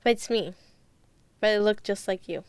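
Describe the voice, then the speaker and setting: A woman speaks in an offhand, playful way, her voice strongly gravelly with vocal fry. Her pitch sits mid-range for a woman. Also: moderate pace, dry recording. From Colombia. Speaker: interviewee, narrator's sister. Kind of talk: casual chat.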